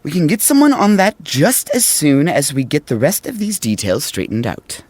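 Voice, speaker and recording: Brisk, sardonic royal advisor. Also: male voice, fairly high pitch, big pitch swings, darkish tone, slightly rough, no echo. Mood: businesslike, polite. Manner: formal, wry, deferential yet pointed. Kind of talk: modern audio drama acting.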